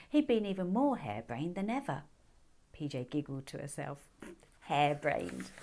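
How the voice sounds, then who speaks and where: A woman reading her own children's book as an expressive storybook narrator. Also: sits low.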